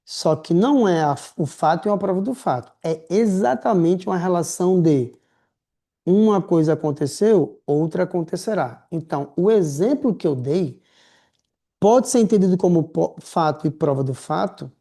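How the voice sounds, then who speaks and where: A man teaching a writing class in a lively, slangy, chatty way, calling his students affectionate names. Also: fairly high pitch. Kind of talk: casual recorded lecture.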